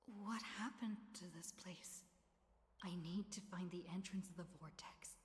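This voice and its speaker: A woman playing an earnest young heroine in a video game, speaking in a hushed, tentative, breathy voice. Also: fairly low pitch, unhurried, natural voice. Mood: wary, searching. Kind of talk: video game inner monologue. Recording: long reverb.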